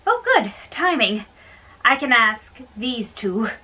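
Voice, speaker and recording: A female reader doing an amateur dramatic play reading, a little halting, recorded at a distance from the mic with background noise. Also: on the high side, very animated, pushed and bright.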